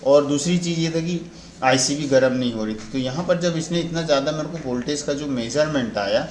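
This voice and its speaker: A man's voice: on the high side, fairly quick. An Indian man giving an informal repair tutorial as a technician, explaining step by step and often repeating and rephrasing his points. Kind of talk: informal spoken repair walkthrough. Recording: roomy echo, faint background noise.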